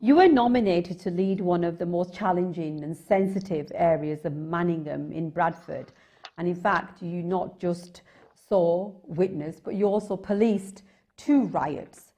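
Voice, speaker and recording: A woman with an English accent hosting a conversational interview, asking long, deliberate questions with hesitations. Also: on the low side, mellow. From England. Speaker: TV host, interviewer.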